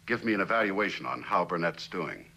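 A stern middle-aged boss in a 60s tv show, raspy and low, deliberate. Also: male voice, expressive, sharp tone, full character voice. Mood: measured, concerned. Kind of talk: 1960s television drama acting.

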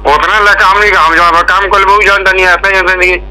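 A village caretaker, a man with a Bihari accent, talks deferentially on a recorded phone call. The voice is strikingly sharp and a little high, with faint hum and noise. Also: level tone, fast. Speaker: village water scheme caretaker, caller. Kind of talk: informal phone conversation.

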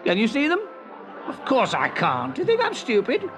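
An indignant storybook villager acted out by a woman, exclaiming with great emphasis. The voice leaps high and swings widely in pitch. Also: huge pitch swings, normal speed, over music, crowd noise. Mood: scornful, amused. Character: indignant townsfolk gawping at a naked emperor.

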